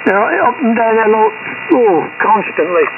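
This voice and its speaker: A high-voiced man comes through a narrow shortwave radio link with heavy noise. He chats casually as an amateur operator, repeating himself for emphasis and calling people mate. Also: lively intonation, a little slow. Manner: chatty, repeats words for emphasis, calls listeners mate. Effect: shortwave ham radio transmission. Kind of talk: casual chat over ham radio. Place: received over HF amateur radio.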